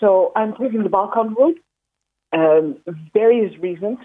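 A woman's voice: mid-range voice, measured pace, dry recording. A woman from the Netherlands, a walking pilgrim, talking in a phone interview with many fillers and restarts. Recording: tinny phone line.

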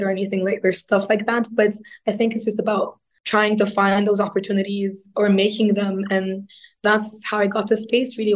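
Flat-voiced woman in her thirties. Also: mid-range voice. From Egypt. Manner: earnest, explanatory. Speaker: guest astronaut. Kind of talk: radio interview answers.

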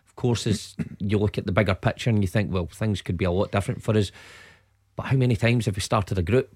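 A man's voice: lowish voice, fast talker, darkish tone, breathy edge, dry recording. A Scottish man giving football punditry on radio, upbeat and emphatic, on a close dry studio mic.

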